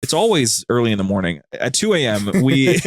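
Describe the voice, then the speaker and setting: A man's voice: mid-range voice, sing-song, a little fast, slight background noise. A man chatting casually as a podcast guest, telling stories in an informal, rambling, slangy way. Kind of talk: casual, anecdotal chat.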